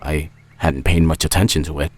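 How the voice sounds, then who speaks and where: A man narrating a scripted first-person story in a measured, reflective manner. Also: very deep voice, level tone, slightly rough, no room sound. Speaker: narrator and author.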